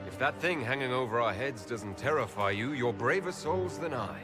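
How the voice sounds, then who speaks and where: An actor playing a firm, measured leader of an inquisition in a fantasy game. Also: male voice, medium pitch, animated, over music, lightly acted. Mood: urgent, commanding. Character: resolute male leader of an inquisition, firm and diplomatic. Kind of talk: dramatic fantasy game acting. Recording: game score behind dialogue.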